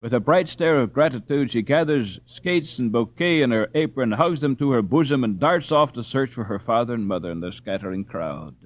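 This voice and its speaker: A man reading a classic children's story aloud as an audiobook narrator, with expressive, dramatic delivery. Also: medium pitch, moderate pace, few pauses. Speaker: audiobook reader.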